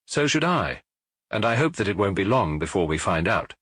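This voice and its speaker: A man's voice: fairly low pitch, very animated, normal speed, a bit bright, breathy edge, dry recording, clean studio sound, exaggerated voice. A man playing a formal police inspector who questions witnesses politely but insistently, in an audiobook. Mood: polite, firm.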